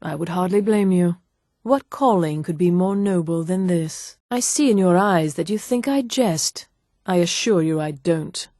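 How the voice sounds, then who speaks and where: A haughty high elf woman of a fantasy game, speaking in a lowish register. Her delivery is precise, polished and condescending, with the refined poise of a proud shopkeeper. The recording is close and dry, free of room sound. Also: a little slow, dark tone, no room sound. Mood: proud, earnest. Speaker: video game character. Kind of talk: scripted game dialogue.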